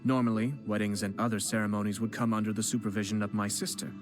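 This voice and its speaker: A man voicing a composed young noble clan head who speaks formally and in a measured way, with gentle teasing. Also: on the low side, flat delivery, close to an everyday voice. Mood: courteous calm. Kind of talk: game voice acting. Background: game music.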